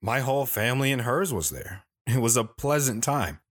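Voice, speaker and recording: A man's voice: mid-range voice, expressive, moderate pace, natural voice. A man playing an earnest, reflective drifter who tells his life story plainly and sincerely in an audio drama.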